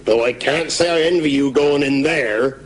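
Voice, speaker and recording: A man's voice: medium pitch, moderate pace, slight background noise, close to an everyday voice. A man playing a superstitious countryman with a Cornish accent in a British radio comedy. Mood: ominous. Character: superstitious Cornish countryman giving muddled proverbs.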